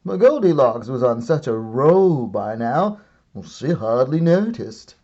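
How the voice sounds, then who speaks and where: A man reads a children's story aloud as an expressive narrator, theatrical and deliberate with comic timing. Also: medium pitch, dull audio. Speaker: storybook reader, art and stories teacher.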